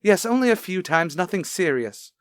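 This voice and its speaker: A man's voice: high-pitched, lively intonation, normal speed, dry recording, clean studio sound. Man playing a haughty, easily affronted voice coach. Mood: anxious. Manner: prim, precise, affronted. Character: haughty male voice coach, evasive and indignant. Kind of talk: old-time radio detective drama acting.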